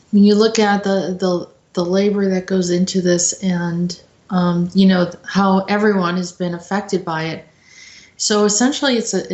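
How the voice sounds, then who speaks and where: A woman host giving informal commentary in a conversational, halting way, as if thinking aloud. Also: fairly low pitch, a little mellow, dull audio. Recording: roomy, echoey sound.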